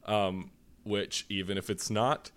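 A man's voice: lowish voice, steady tone, slow, a bit bright. A man co-hosting a casual comedy podcast with his brother, telling rambling jokey stories. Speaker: podcast co-host.

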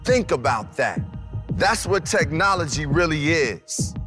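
A man delivering a motivational, scripted commercial voice-over with deliberate phrasing. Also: on the high side, sing-song. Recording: music behind voice.

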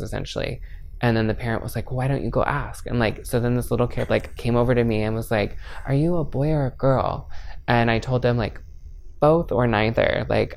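A man's voice: medium pitch, creaky. A man in his twenties talking openly in a casual interview, easygoing and candid, with many filler words. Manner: chatty, frequent filler "like".

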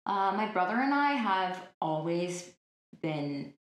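A woman in her twenties speaks at the low end of women's pitch, chatting casually and filling her sentences with "like" and "um". The room around her gives a noticeable echo, as in an interview recorded in an open space. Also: big pitch swings, slow. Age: 20s. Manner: chatty, lots of likes and ums. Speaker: interview guest. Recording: roomy echo.

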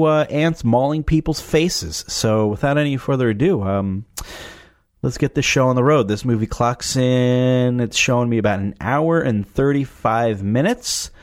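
A man hosting a casual movie watch-along, his voice scratchy and raspy from a cough, making sarcastic jokes as he watches. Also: mid-range voice, lively intonation, normal speed. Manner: joking, sarcastic. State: has a cough. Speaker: host reviewing a movie.

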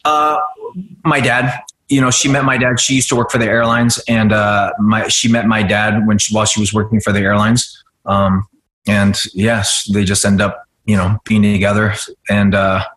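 A man in his forties speaking in a fairly low register, relaxed and explanatory, often padding his thoughts with ums and you-knows. His voice carries a noticeable roomy echo, like a podcast recorded in an untreated room. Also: moderate pace. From United States. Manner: relaxed, explanatory, frequent fillers. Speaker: jiu-jitsu guest. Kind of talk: casual podcast interview.

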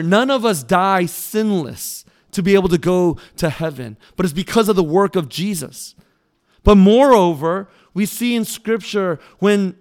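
Pastor preaching with a clear, resonant voice. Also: male voice, high-pitched. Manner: earnest, pleading, with emphatic pauses. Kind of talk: sermon. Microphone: mic that briefly cut out.

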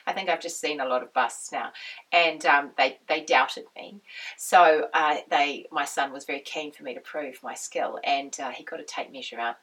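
A woman hosting her knitting podcast with a husky, croaky voice, chatting in a rambling, friendly way. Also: deep voice, normal speed, fairly bright tone, distant mic, rough recording. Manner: rambling, friendly, with many restarts and laughs. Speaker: podcast host and knitwear designer. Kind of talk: casual chatty monologue.

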